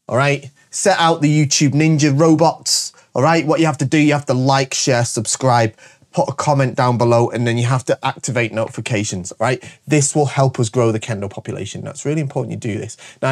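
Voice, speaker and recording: Stuffy-nosed man hosting. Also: mid-range voice. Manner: energetic and jokey, repeating "all right?" often. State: congested stuffy voice from a cold. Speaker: show host and shop owner. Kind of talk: casual promotional monologue to camera.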